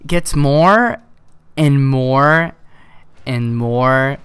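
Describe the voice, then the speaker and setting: Teen male YouTuber, casual rambling storyteller, smooth clear voice, mid pitch, exasperated asides. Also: extremely slow, fairly bright tone, dry recording. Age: teenager. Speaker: video game YouTuber.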